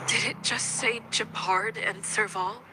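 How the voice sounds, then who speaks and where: A woman's voice: medium pitch, steady tone, a little slow, background noise, natural voice. An actress playing a passionate, wounded young woman researcher in a video game, with dramatic, emotional delivery. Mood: puzzled, uneasy.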